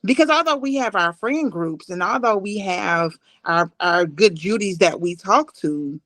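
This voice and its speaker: A woman in her thirties telling a personal story as an interview guest on a video call, deliberate and emphatic, repeating phrases. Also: mid-range voice, animated, a bit bright, dry recording.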